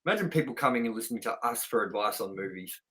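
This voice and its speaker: A man's voice: on the low side, expressive, rapid-fire, a bit bright. A man co-hosting a movie review show in casual, unscripted chat, talking informally and straight to the audience. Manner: informal, chatty, addresses viewers directly.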